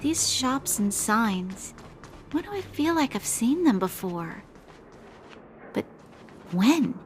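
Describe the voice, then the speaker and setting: A woman voicing a poised, dignified young female commander in formal, measured game dialogue. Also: on the high side, very animated, a little hiss or hum. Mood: puzzled.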